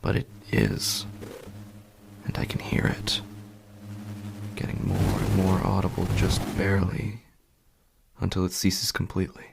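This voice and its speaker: A man playing an uneasy, sleepless husband in a horror podcast, telling his story slowly and haltingly in a hushed, gravelly, husky voice. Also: low-pitched, completely flat delivery, slight background noise, exaggerated voice. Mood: tense, bewildered. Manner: hushed, deliberate, halting with long pauses. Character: uneasy, sleepless husband haunted by faint night sounds. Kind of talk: slow first-person horror podcast narration.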